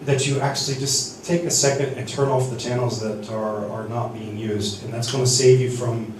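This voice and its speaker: A man's voice: medium pitch, fairly even intonation, slight background noise. Guy explaining a mixing board, lots of ums and restarts, big echoey church hall, mic kinda far off. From United States. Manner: halting, many restarts and fillers. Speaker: seminar speaker. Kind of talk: teaching sound-desk basics.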